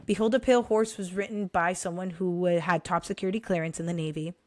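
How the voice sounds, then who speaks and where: Young woman, hoarse, casual vlog talk, animated, addresses viewers directly, dry close sound. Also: on the low side, fast talker, dry recording. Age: young adult. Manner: animated, addresses viewers as guys, many restarts. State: hoarse, recovering from illness. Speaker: vlogger recommending books.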